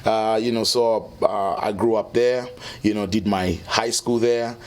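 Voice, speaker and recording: A middle-aged man from Zambia, a musician guest telling his story in a relaxed interview, often saying "you know". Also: fairly low pitch, a little slow. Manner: easygoing, peppers speech with "you know".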